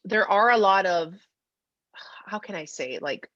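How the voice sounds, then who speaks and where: A woman's voice: medium pitch, animated, a little slow, fairly bright tone, no echo. Casual female podcast host, dry muted sound. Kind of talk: casual chat, asking questions. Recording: close, dry, slightly dull audio.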